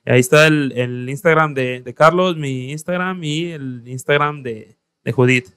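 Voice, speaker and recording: Mexican guy co-hosting, oaxaca, chatty friendly, clear smooth voice. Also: mid-range voice, measured pace, fairly bright tone, no echo, clean studio sound. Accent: Mexican Spanish. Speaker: co-host. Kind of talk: casual interview chat.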